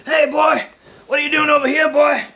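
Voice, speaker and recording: A man's voice: extremely high pitch, little pitch movement, moderate pace, voice pushed up, exaggerated voice. A man voicing a brash, boastful wrestler bellowing taunting challenges in an improvised comedy podcast bit. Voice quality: loud, shouted. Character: brash, boastful wrestler called Doctor Disrupt, challenging people.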